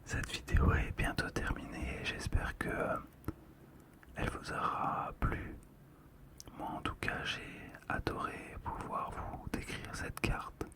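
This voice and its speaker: Slow whispering ASMR host. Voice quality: breathy unvoiced whisper. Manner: slow, gentle, soothing delivery. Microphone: close ASMR microphone, monitored on headphones.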